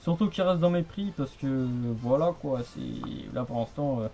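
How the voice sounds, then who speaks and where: Young man rambling casually, mellow voice. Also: mid-range voice, expressive, normal speed, gravelly, faint music behind. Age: young adult. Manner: rambling, repetitive, colloquial. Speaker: radio hobbyist, channel host. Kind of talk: casual radio contact chat.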